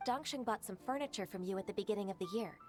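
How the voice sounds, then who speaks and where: A woman's voice: mid-range voice, rapid-fire, close to an everyday voice. A woman voicing a polite, earnest young government secretary in a video game, speaking in a formal, composed way. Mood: polite, businesslike. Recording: studio voice over game music.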